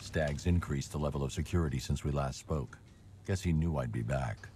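An actor playing a grim, stoic masked vigilante in a video game, terse, controlled and deadpan. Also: male voice, rumbling low, normal speed, slight background noise. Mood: grim, determined. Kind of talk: scripted video game acting.

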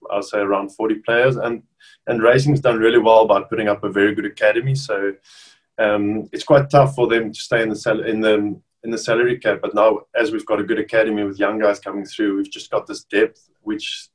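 A South African man in his thirties, whose first language is Afrikaans, chatting casually over a video call as an interview guest with relaxed restarts. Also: fairly low pitch, a little fast, low-quality recording. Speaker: rugby player guest. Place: bedroom at home, indoors.

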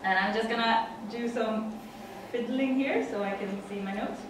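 A woman's voice: fairly high pitch, normal speed, background noise. Woman, Swedish accent, chatty, laughing, many fillers, far mic, very echoey room. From Sweden. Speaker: conference speaker. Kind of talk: presentation talk. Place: dim conference room.

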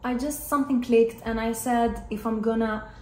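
A woman's voice: a little high, steady tone, normal speed. A woman in her twenties from Greece, a native Greek speaker with a Greek accent, telling a casual personal story to camera as a vlogger. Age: 20s. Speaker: vlogger and former flight attendant. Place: hard-floored living and dining room at home. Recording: roomy echo with clear background noise.

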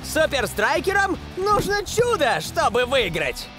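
Cartoon dub: a shrill, lively football commentator, loud score underneath. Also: male voice, measured pace, music in the background, full character voice. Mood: excited. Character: excitable male match commentator. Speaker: match commentator. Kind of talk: animated sports commentary.

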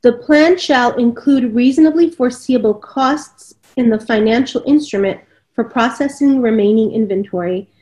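Woman, 30s, slightly high voice, reads out requirements and comments, home video call. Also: darkish tone, poor audio. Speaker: consultant co-host. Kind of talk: reading requirements aloud and commenting. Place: indoor kitchen at home. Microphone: video call computer microphone.

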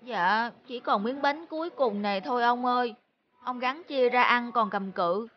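A woman voicing a dutiful, anxious young male servant, deferential and earnest, in an animated folk tale. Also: a little high, moderate pace, lo-fi sound, close to an everyday voice. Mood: apologetic.

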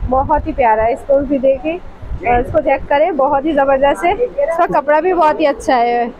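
A woman's voice: sits high, normal speed, muffled recording. A woman hosting a casual shopping vlog, enthusiastically praising and repeating what the seller says. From Pakistan. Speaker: vlog host. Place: indoor fabric shop. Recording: clear background shop noise.

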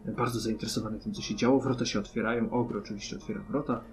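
A man's voice: on the low side, steady tone, racing. A man narrating an improvised tabletop role-playing game as game master. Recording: music behind the voice. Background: instrumental music.

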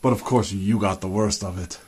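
A man's voice: fairly low pitch, fairly even intonation, moderate pace, darkish tone, husky. A man playing a chummy, genteel American spy gadget supplier disguised with a put-on British accent, reminiscing in old-fashioned phrases in an improvised roleplay. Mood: fond, nostalgic. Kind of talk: improvised one-sided spy roleplay, addressed to the listener.

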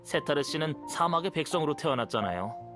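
A man's voice: fairly high pitch, expressive, a little fast, lightly acted. A man playing an earnest, devout young man with a pointed manner in video game dialogue. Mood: probing, resentful. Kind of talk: Korean video game voice acting. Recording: music under the voice. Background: music.

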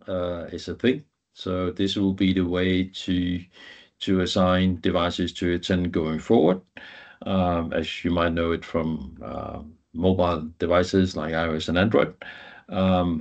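Low-voiced man, halting technical presenter. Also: darkish tone, muffled recording. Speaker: guest presenter, IT professional. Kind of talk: informal technical presentation over slides.

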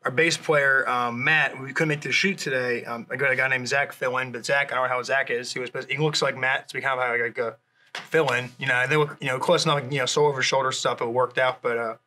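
A man's voice: medium pitch, fairly even intonation, a little fast, pushed and bright, a little hiss or hum. A young man rambling hesitantly with lots of fillers as a drummer in a comedy sketch. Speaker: drummer in a mock band interview.

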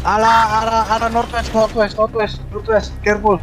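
A man's voice: high-pitched, level tone, moderate pace, heavy background noise. A man chatting casually with teammates in a roleplay game, repeating short phrases. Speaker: player in a police roleplay game. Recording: loud music behind the voice.

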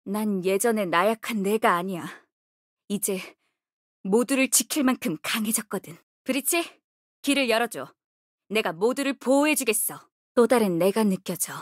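A woman's voice: a little high, a bit bright, no room sound, studio quality. A woman voicing a resolute, composed young female healer and guardian in a video game, speaking firmly with a solemn, commanding manner. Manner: solemn, commanding, short crisp delivery. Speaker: game character voice actor. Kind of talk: video game character voice lines.

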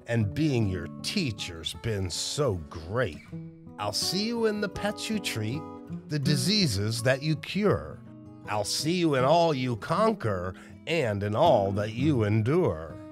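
A man reading a children's picture book aloud in an expressive, measured, encouraging way. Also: mid-range voice. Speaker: storybook reader. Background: plucked guitar music.

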